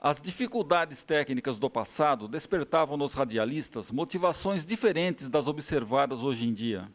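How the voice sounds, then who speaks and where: A man's voice: medium pitch, expressive, moderate pace. A Brazilian man narrating a documentary from a script.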